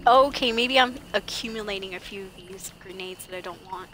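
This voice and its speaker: A woman's voice: mid-range voice, a little fast, over music, rough recording. A young woman streaming a game from home, chatting casually and thinking aloud as she sorts and prices her loot. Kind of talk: casual gameplay commentary. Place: home gaming setup, indoors.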